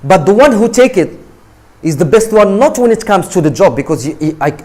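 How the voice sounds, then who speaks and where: Lively-pitched man giving an emphatic talk. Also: brisk. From Morocco. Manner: emphatic, repetitive, asks "right?" often. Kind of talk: motivational talk to students.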